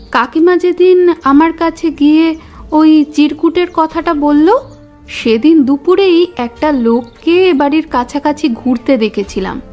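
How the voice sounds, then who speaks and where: A woman's voice: high voice, darkish tone. A woman playing a watchful young neighbour giving careful, earnest witness testimony in an audio story. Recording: music bed under voice.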